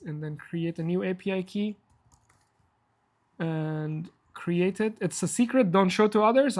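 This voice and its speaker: Persian guy running a live coding demo, chatty and self-deprecating, lots of restarts. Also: sits high. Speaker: workshop host.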